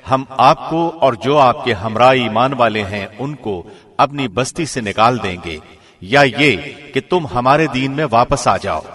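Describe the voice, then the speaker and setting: A man reading a scripture translation from a script in formal, deliberate radio presenter diction. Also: medium pitch, fairly bright tone.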